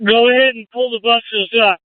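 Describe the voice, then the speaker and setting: A man's voice: very high-pitched, steady tone, moderate pace, no echo. A man working as an event command officer, giving operational instructions and updates over a two-way police radio. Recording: tinny narrowband police radio channel.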